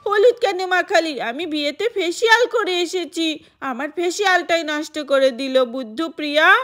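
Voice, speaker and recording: A woman's voice: normal speed, fairly bright tone. A woman voicing a vain, indignant little boy who whines and scolds in a doll play. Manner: shrill, scolding, whining.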